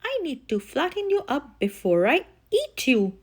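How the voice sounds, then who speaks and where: A woman's voice: sits high, huge pitch swings, unhurried, heavily acted. A woman reading a storybook and voicing a sly, menacing old witch who speaks with false sweetness.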